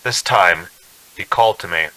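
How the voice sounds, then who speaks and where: Bright, tense young man, uneasy traveler. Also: low-pitched, normal speed, rough recording. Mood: brooding, uneasy. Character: uneasy young man narrating his eerie road trip. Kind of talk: radio drama acting, first-person suspense narration.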